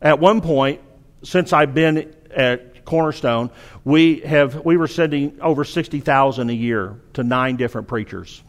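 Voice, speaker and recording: A man's voice: mid-range voice, measured pace. A middle-aged man with a Southern accent from Arkansas, preaching a sermon in an earnest, exhorting way, often restarting his sentences.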